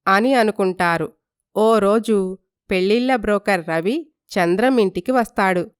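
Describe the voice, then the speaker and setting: A woman storyteller reads a scripted cartoon moral story. Her voice is smooth and clear, at a middle pitch, in a dry space. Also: unhurried, dry recording.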